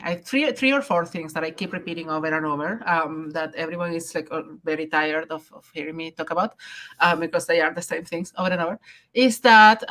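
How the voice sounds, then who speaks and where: Lower-voiced woman hosting a podcast. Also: a bit bright. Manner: hesitant, many fillers and restarts while thinking aloud. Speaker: podcast host. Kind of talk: conversational podcast talk.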